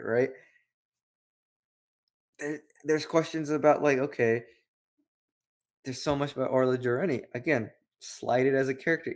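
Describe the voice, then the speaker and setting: A man talks as a review show co-host in a casual, opinionated style. He rambles from thought to thought and keeps posing rhetorical questions. His pitch sits in the middle range for a male voice. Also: darkish tone.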